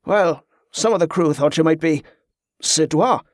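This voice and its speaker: A man's voice: on the high side, moderate pace, no echo, clean studio sound, natural voice. A man voicing a formal ship's captain in an audiobook, awkward yet used to command.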